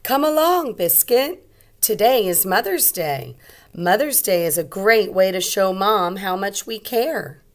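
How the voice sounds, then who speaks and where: A woman's voice: mid-range voice, expressive, unhurried, close to an everyday voice. A woman voicing a caring little girl who talks to her puppy in a storybook, with emphatic read-aloud delivery. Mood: cheerful, eager.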